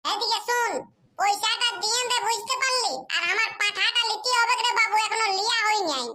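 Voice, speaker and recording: A performer voicing a bossy, childlike cartoon villager friend with a squeaky voice and a Purulia Bengali accent. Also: fairly even intonation, fairly quick, heavy background noise. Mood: urging. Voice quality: very high, squeaky. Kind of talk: comedy cartoon dialogue.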